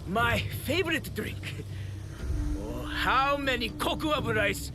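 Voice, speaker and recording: Shrill, mocking pirate villain. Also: male voice, very animated, slow, noisy background, full character voice. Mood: defiant mockery. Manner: taunting, laughing, theatrical. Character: mocking male pirate villain, brash and defiant. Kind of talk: video game cutscene acting.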